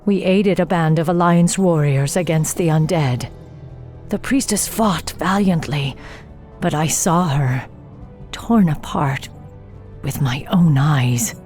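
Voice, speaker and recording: An actress playing a stern, vengeful elven warrior commander in a fantasy game, speaking with cold, formal authority. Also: female voice, deep voice, slow, darkish tone, faint music behind. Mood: grave. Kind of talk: dramatic game cutscene acting.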